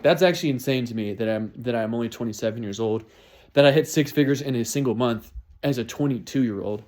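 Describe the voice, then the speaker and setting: A man's voice: medium pitch, darkish tone. A man in his twenties talking through his New Year's resolutions in a casual, motivational way, often repeating and restarting phrases. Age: 20s. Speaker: vlogger.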